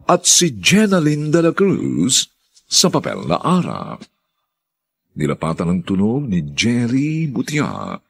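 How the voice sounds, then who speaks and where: A man's voice: on the low side, creaky, husky. A man narrating and announcing a radio drama in a solemn, theatrical style, drawing out his phrases.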